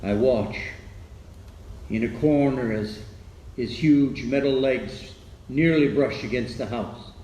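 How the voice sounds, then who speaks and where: An actor playing a weary, frightened survivor of an alien invasion in a halting dramatic radio monologue. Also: male voice, lowish voice, a little mellow, echoey room, slight background noise, low-quality recording. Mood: weary, bleak. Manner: halting, hesitant delivery with stammered repeats.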